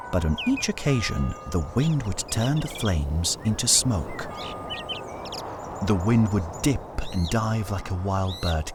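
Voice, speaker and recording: A man narrating a scripted folktale as a storyteller, in a measured, solemn manner with deliberate phrasing. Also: lowish voice, background noise. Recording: studio narration over music. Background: music.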